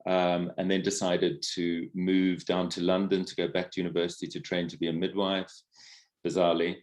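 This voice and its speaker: A man's voice: low-pitched, monotone, moderate pace, a little mellow. A middle-aged man from Africa, a podcast guest talking reflectively about his life, with many fillers and restarts. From South Africa. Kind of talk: casual interview conversation.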